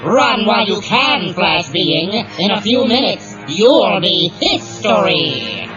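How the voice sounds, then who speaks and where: A man's voice: high-pitched, very animated, slow, exaggerated voice. A man playing a menacing alien villain in an audio drama, gloating as he threatens. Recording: dull cassette sound under loud music.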